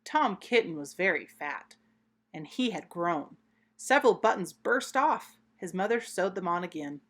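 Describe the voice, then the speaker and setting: A woman reading a children's story aloud, expressive, deliberate and emphatic. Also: lowish voice.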